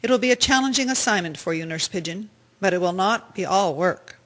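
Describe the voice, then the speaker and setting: A woman playing an authoritative hospital matron in a radio drama, speaking in a formal, measured way. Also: mid-range voice, animated, lightly acted. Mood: composed, formal.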